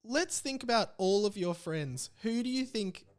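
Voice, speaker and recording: A man's voice: sits high, expressive, a little slow. A man with an Australian accent hosting a podcast in casual, informal chat with a mock-aggrieved way of talking. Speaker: podcast host.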